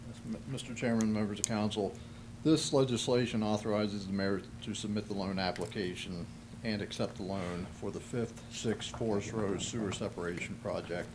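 A middle-aged man with a fairly low voice explains things formally as a city official. He hesitates as he goes, and the podium recording has clear background noise. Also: fairly even intonation, moderate pace. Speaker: city official presenting to council. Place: large council chamber. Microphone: podium microphone on stand. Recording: noisy council chamber room recording.

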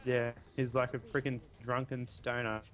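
A man's voice: medium pitch, little pitch movement, moderate pace, crowd noise. A young man chatting during co-op gameplay, rambling and full of "like". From Australia. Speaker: co-op gaming partner. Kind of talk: casual co-op gameplay chat.

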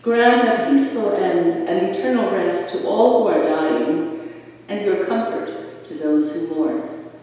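Slow, halting prayer reader in an echoey church. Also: mid-range voice, darkish tone, recorded from a distance. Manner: halting, with slips and self-corrections. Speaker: lay reader leading intercessions. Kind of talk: reading prayers aloud in a church service. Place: large church with stone walls. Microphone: distant room mic.

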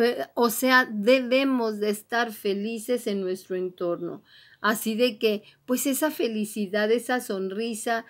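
A woman card reader speaking straight to the listener in an encouraging, exhorting manner, repeating her urgings as she gives a motivational horoscope reading. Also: a little high. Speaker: tarot and oracle card reader, host.

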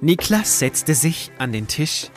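Male narrator for literary audio fiction, scripted delivery, voice slightly on the higher side. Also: big pitch swings, takes it slowly, over music.

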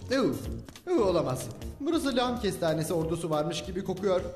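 A man's voice: high-pitched, very animated, a little fast, darkish tone. A man voicing a nervous, timid young male sidekick in a dubbed cartoon, stammering and jittery. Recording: echoey reverb with music.

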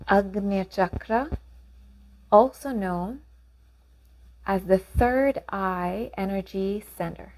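Female meditation guide, mid-pitched voice, very slow calm delivery, gently instructive, generous pauses. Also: rough recording.

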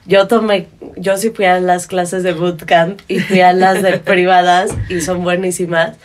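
A woman's voice: lowish voice, flat delivery, unhurried. A woman in her thirties with a Mexican Spanish accent, hosting a casual podcast conversation at a studio microphone. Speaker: podcast host. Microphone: studio microphone on boom arm.

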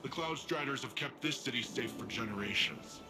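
A man's voice: mid-range voice, normal speed, natural voice. A young man voicing a cocky, playful rookie hero in a video game, chatty and casual. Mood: cheeky, excited. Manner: casual, hesitant asides, playful bragging. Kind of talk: video game dialogue acting. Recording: music behind.

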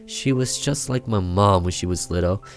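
A man's voice: sits low, moderate pace. A young man reading a horror visual novel aloud as a let's player, with theatrical storytelling. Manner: theatrical storytelling with side comments. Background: game music with guitar.

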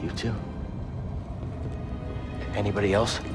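Wary young man with a low voice. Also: steady tone, slow. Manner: terse, wary. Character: guarded, sceptical young male fugitive Jedi. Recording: music and ship rumble behind.

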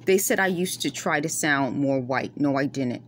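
A woman's voice: rumbling low, moderate pace, a little hiss or hum. A middle-aged woman from the Bronx with a New York accent tinged by West Indian roots, talking earnestly into her phone in a dim room at night. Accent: Bronx New York with West Indian traces. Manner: earnest, insistent, explains in circles. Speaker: vlogger. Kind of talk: casual monologue to camera. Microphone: phone camera close up.

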